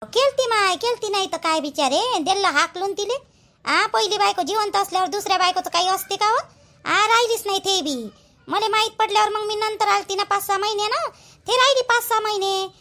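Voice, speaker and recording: An extremely high-pitched cartoon village wife, acted by a woman, gossips in a Vidarbha Marathi accent. She is an aggrieved daughter-in-law who airs household complaints chattily and leans hard on repeated phrases. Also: brisk, bright, forceful tone, exaggerated voice. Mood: rueful. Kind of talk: colloquial cartoon gossip dialogue.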